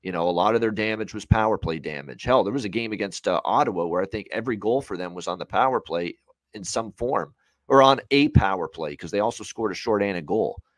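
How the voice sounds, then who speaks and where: A man's voice: fairly low pitch, expressive, fairly quick, no echo. A man in his thirties giving conversational sports betting analysis from a room at home, building long, hedged, run-on points. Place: small room at home, on a video call.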